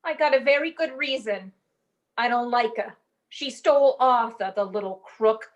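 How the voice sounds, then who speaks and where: A woman's voice: fairly high pitch, normal speed, lo-fi sound, close to an everyday voice. A woman playing a melodramatic, lovesick young chorus girl with slangy, tearful theatrics in an old-time comic radio play. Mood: sheepish, brazen.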